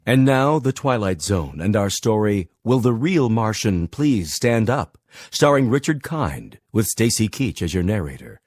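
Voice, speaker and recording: A man's voice: lowish voice, big pitch swings, measured pace, darkish tone, no room sound, soft background music. A man announcing a scripted drama program introduction.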